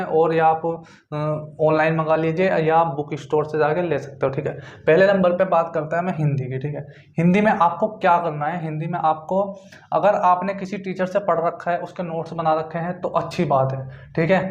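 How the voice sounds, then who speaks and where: A young man giving informal exam guidance, speaking to viewers in a repetitive, instructive way. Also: a little high. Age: young adult. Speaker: exam-prep YouTuber. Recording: echoey room, dull lo-fi sound.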